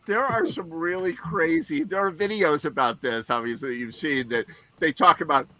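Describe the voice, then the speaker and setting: A man whose first language is German, co-hosting a casual chat show and telling stories in an animated way, with lots of restarts. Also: a little high, normal speed. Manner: animated storytelling with frequent restarts.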